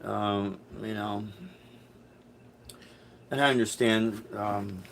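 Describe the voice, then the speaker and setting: Middle-aged chicago guy rambling on a live stream, lowish voice, lots of ums and restarts. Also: steady tone, very slow, fairly bright tone. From Chicago, USA. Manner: rambling, hesitant, many restarts and fillers. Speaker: musician hosting a live stream. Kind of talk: casual live-stream chat, answering viewer comments.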